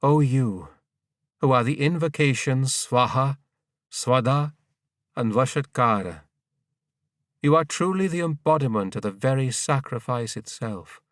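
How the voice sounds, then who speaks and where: A man's voice: mid-range voice, unhurried, no room sound, studio quality, distant mic, natural voice. A man voicing a creator god who prays in awe to a goddess, solemn and incantatory, in an audiobook. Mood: solemn praise.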